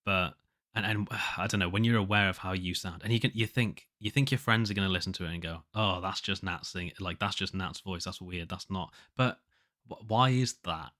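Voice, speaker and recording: A man with a low but nasal voice, chatting casually on his own as if keeping an audio diary, rambling and hesitant as he thinks aloud. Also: fairly quick, pushed and bright. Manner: hesitant, rambling, self-questioning. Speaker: podcast host, musician.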